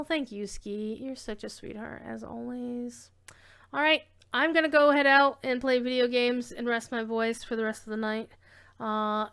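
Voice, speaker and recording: A woman with a high, very clear and smooth voice hosts a casual livestream. She talks to her viewers in a chatty, informal ramble, drifting from one thought to the next with effusive warmth in her wording. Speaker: livestream host and reader.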